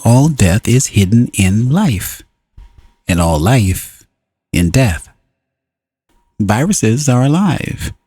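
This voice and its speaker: A man narrating a book as an audiobook reader, in deliberate, solemn phrases broken by frequent pauses. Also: lowish voice, darkish tone, husky, dry recording.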